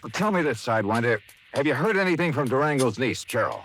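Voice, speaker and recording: A man playing a brisk, hard-boiled investigator telling his case in 1950s American radio detective style. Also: medium pitch, expressive, a bit bright. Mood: businesslike. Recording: vintage radio recording with music.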